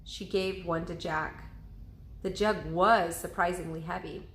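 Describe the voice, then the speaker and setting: Slow-paced woman reading a storybook aloud. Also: lowish voice, fairly even intonation, a bit bright, slight background noise. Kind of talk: children's storybook read-aloud. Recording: roomy, echoing indoor sound.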